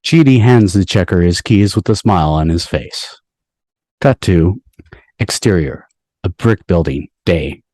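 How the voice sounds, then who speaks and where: A man's voice: deep voice, lively intonation, unhurried, a little mellow, dry recording, studio quality. A man reading stage directions as the narrator of a scripted table read. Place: video call from indoors.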